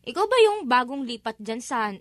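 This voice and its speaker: Woman as a high-voiced protective mother. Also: big pitch swings, normal speed, no room sound, clean studio sound. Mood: stern, accusing. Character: protective, indignant mother of a young child. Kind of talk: Filipino audio drama acting.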